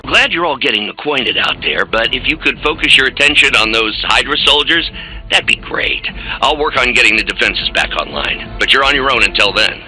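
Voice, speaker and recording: A man's voice: mid-range voice, expressive, a little fast, bright, forceful tone, breathy edge, faint music behind, full character voice, rough recording. An actor playing a harried, testy male scientist in a video game, exasperated and sarcastic. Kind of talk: video game character dialogue.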